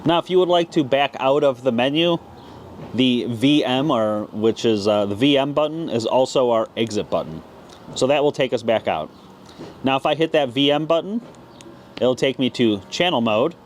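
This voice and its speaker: A man giving a casual, plain-spoken, step-by-step product tutorial as a video host. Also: medium pitch. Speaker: ham radio video host. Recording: clear background noise.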